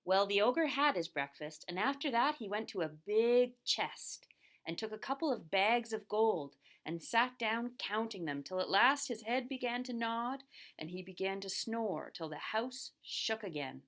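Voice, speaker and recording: A woman's voice: medium pitch, expressive, measured pace, a bit bright. A woman narrating a scripted children's story as the storyteller of a puppet show.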